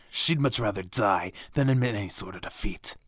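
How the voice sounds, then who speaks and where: Sardonic, short-tempered gang boss played by a woman, very low and raspy, close dry audio drama. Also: moderate pace, dry recording. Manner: terse, exasperated. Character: irritable, brooding, sardonic boss of a villain band.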